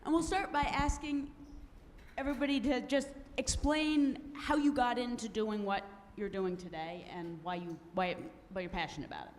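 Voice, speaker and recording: A woman moderating a conference panel, introducing speakers briskly and stumbling over a name. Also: on the high side, steady tone. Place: conference hall. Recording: long hall reverb, faint room noise.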